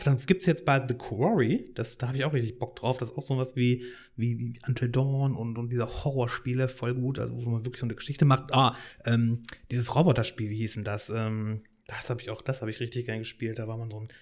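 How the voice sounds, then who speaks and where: A man in his thirties, a podcast host from Hannover, talks freely in a casual monologue. He rambles, restarts sentences and fills pauses with small hesitations, at a medium pitch. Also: steady tone, a little mellow. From Hannover, Germany. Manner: rambling, many fillers and restarts.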